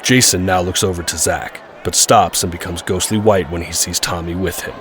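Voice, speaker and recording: A man's voice: deep voice, fairly even intonation, normal speed, fairly bright tone. A man narrating a scripted audio drama, describing the action. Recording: clear urban background noise.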